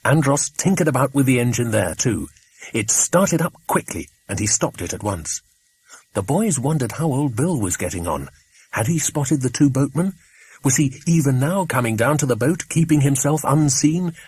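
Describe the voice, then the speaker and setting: Animated, slightly husky English male narrator. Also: mid-range voice, normal speed. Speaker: audiobook narrator. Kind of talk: scripted children's adventure narration.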